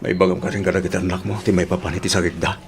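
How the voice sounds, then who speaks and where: A man's voice: low voice, level tone, very fast, a little hiss or hum. A man acting in an Ilocano radio drama as an earnest, emotional family man, with an Ilocano accent. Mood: subdued. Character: earnest family man, by turns pleading son and father.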